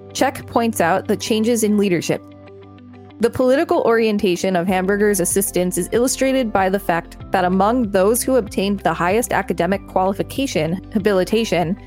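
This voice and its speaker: A woman co-host reads an article aloud in a steady, even manner at a middle pitch. Playful quips slip in between passages. Faint music plays behind her. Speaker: podcast co-host reading aloud.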